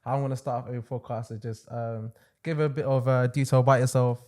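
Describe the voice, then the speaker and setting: A man's voice: mid-range voice, quick pace. Young male podcast host, casual and loose, laughs easily, talks with plenty of fillers. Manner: relaxed, laughs often, lots of fillers. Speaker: podcast host and online fitness coach. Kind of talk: casual interview chat.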